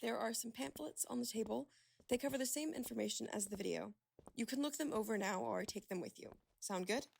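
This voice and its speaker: An actress playing a friendly, reassuring clinic staffer in a radio drama, speaking in a polished, welcoming way. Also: female voice, fairly high pitch, a little fast, vocal fry, slight background noise. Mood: welcoming, upbeat. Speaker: staff member welcoming a patient. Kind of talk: radio drama acting, conversational.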